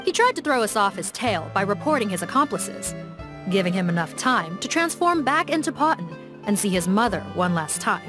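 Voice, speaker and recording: A woman voicing a composed police officer in a video game, reporting in a calm, matter-of-fact way. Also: mid-range voice, a little fast, close to an everyday voice. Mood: firm. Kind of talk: video game dub dialogue. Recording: game cutscene with music beneath. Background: music.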